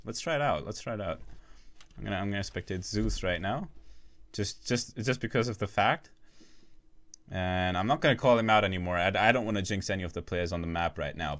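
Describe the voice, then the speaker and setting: A man calls a competitive shooter match alone, tumbling from play-by-play into asides to his viewers. His voice is bright and forceful, and he often restarts his sentences mid-call. He keeps a casual, joking touch even while tracking the action. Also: on the low side. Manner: rapid, chatty, self-interrupting, jokes with chat. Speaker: esports caster. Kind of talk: solo live game commentary.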